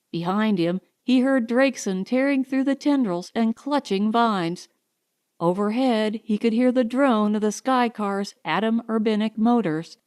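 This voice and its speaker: A woman narrating a science fiction audiobook in a measured, deliberate, dramatic way. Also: fairly high pitch, a little mellow, clean tone.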